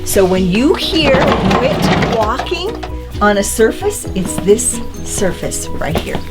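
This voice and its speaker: A woman's voice: mid-range voice, big pitch swings, slow. Woman tour guide explaining over music. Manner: theatrical storytelling with vocal demonstrations.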